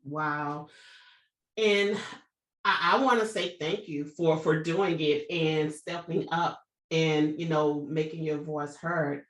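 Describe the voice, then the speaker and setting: A woman hosting a podcast talks in a somewhat low voice, recorded in an echoey room. She reads a guest introduction and then chats loosely, stumbling over words and restarting her sentences. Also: steady tone, slow pace. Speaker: podcast host. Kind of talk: reading a guest introduction, then casual interviewing.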